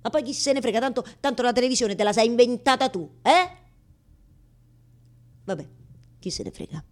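A woman's voice: a little high, lively intonation, moderate pace, close to an everyday voice. An actress performing a neurotic, lovelorn woman in a rambling, colloquial theatrical radio monologue addressed to God, full of repetitions and tag questions. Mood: agitated, resigned. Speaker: stage actress in a solo monologue.

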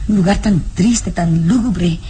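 A woman's voice: fairly low pitch, measured pace, dark tone, a little hiss or hum, close to an everyday voice. An actress playing a tender, anxious young woman in a Spanish-language radio drama, pleading and hesitant, with sighs.

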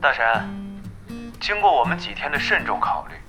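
An ambitious young guild boss in an audio drama. He is courteous and measured, in a somewhat high voice, with clear music underneath. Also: sing-song. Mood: formal, pleased. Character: polite, businesslike young man, ambitious gaming guild leader. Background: background music.

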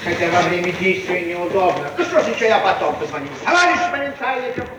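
An actor playing a fussy, self-important hanger-on who repeats himself and meddles, in a theatrical radio comedy style. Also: male voice, high voice, expressive, quick pace. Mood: fussy, officious. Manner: repetitive, fussy, busybody. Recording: echoey, noisy old radio recording.

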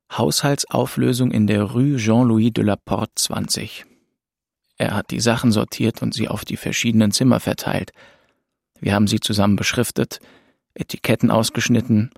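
A man's voice: lowish voice, level tone, normal speed, gravelly, no echo, studio quality. An actor playing a grieving young male student, narrating a German radio drama in the first person in short, introspective phrases. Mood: wistful, resolute. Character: grieving, rootless 22-year-old male student, bitter and melancholy. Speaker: narrator and lead in an audio drama. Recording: music behind the voice. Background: music.

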